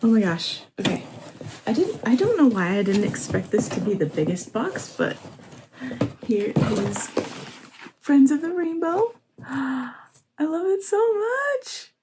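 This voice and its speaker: Woman, hoarse, chatty, excitable, faint background hiss. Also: high voice, slow pace, pauses often. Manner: chatty, excitable, laughs often. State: hoarse, sore voice. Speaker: vlogger. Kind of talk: casual vlog chat. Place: home, indoors.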